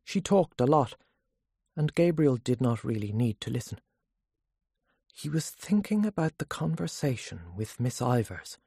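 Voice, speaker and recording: Narrator for literary fiction: measured storytelling, expressive pitch movement, slightly rough-edged tone, dry sound. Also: no echo. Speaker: audiobook narrator.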